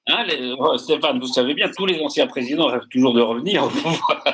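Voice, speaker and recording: A man hosting a French talk show panel, asking guests questions in a lively, halting way. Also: mid-range voice, noisy background. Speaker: host. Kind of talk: panel discussion by video call.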